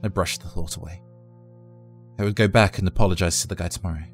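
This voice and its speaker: A man's voice: deep voice, fairly even intonation, fast, faint music behind. A man narrating a scripted first-person horror story in a dramatic, tense storytelling manner.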